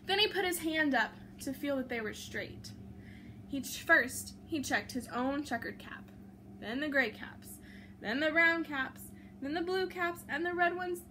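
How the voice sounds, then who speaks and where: A woman reading a children's storybook aloud in an expressive, teacherly way, with simple chatty asides. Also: high-pitched. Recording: clear background noise.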